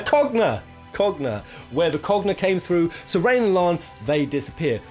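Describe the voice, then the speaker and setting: A man hosting a countdown video with casual, unscripted commentary, talking in an emphatic, jokey, sweary, slangy way. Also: mid-range voice. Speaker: video host presenting a game countdown. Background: game soundtrack music.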